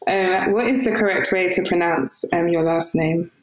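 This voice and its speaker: Low-voiced woman host, echoey room. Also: moderate pace. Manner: relaxed, hesitant with fillers and restarts. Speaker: podcast host. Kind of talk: casual interview chat.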